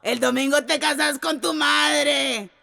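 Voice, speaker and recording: An actress playing a cruel street urchin in a radio drama, calling out jeers in a creaky sing-song. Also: female voice, sits high, slow pace, pushed and bright, shouting, exaggerated voice. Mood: jeering. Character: jeering street urchin shouting cruel taunts.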